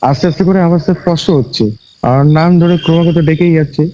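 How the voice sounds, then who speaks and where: A man tells a personal story conversationally, haltingly, often repeating his phrases. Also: fairly high pitch, warm tone, highs cut off, a little hiss or hum. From West Bengal, India. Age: 20s. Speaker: guest recounting a personal ghost experience. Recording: music bed with cricket and insect sounds.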